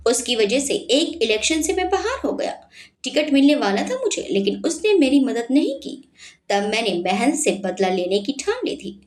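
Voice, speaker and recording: A woman's voice: high-pitched, darkish tone. A woman voicing a greedy, corrupt male politician in an audio story, bitter and resentful. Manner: snarling through gritted teeth, resentful.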